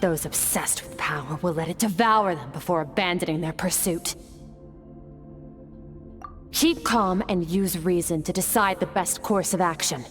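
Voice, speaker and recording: A woman's voice: lowish voice, unhurried, soft background music, natural voice. An actress playing an aloof, battle-hardened swordswoman in a video game, composed and condescending in manner. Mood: calm, commanding. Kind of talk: scripted video game acting.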